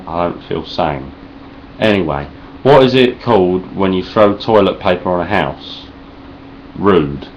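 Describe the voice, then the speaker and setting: Deep-voiced sweary Cockney Essex man. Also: unhurried, highs cut off, a little hiss or hum. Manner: deadpan, sarcastic, rambling, heavy swearing. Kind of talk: sweary deadpan vlog response.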